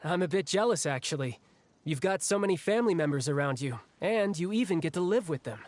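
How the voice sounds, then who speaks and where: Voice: medium pitch, animated, fairly quick, a little mellow, slight background noise, lightly acted. An actor playing an easygoing, protective young adventurer in a video game, talking casually and candidly. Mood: friendly. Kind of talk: video game story dialogue.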